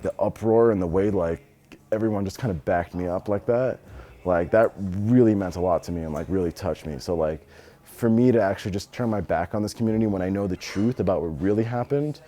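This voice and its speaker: A man's voice: low-pitched, warm tone. A man in his twenties with an American accent, answering interview questions casually in blunt, slangy speech with some swearing. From Los Angeles, United States. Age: 20s. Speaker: interviewee, business owner.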